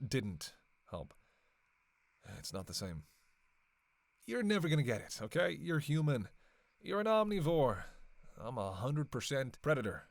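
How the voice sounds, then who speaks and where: A man's voice: medium pitch, big pitch swings, unhurried, gravelly, pauses often, no echo. A man playing a sardonic, flirtatious, long-lived vampire killer in a roleplay audio drama, with casual, teasing, sweary banter. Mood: defensive, musing. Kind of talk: casual roleplay audio acting, one side of a dialogue.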